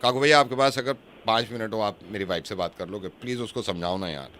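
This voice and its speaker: Man playing a bright-voiced, pleading harried husband. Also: fairly low pitch, quick pace, lightly acted. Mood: pleading, exasperated. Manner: apologetic, repetitive, pleading. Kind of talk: prank phone call.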